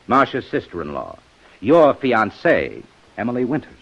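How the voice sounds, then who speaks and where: An American actor playing a sharp, methodical police inspector with a probing, accusatory manner in a 1950s radio detective drama. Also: male voice, medium pitch, huge pitch swings, moderate pace, gravelly, full character voice. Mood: accusing, probing. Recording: dull vintage radio recording.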